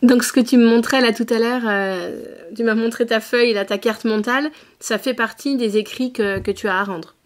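A woman's voice: on the high side, fairly even intonation, normal speed, hardly any pauses. A woman hosting a podcast interview, casual and friendly, often laughing as she asks questions. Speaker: podcast host. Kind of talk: conversational interview.

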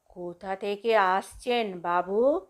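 A woman voicing a humble, stooped old male caretaker in a story, with deferential, drawn-out rustic speech. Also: medium pitch, voice pushed down, lightly acted. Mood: curious, deferential. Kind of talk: dramatised story reading.

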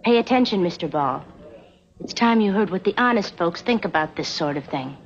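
A woman's voice: lowish voice, lively intonation, normal speed, natural voice. A young woman playing an earnest, indignant frontier crusader in a TV western. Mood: insistent. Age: young adult. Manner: earnest, insistent. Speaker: actress in a television western. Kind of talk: 1960s TV western acting. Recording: dull vintage TV soundtrack.

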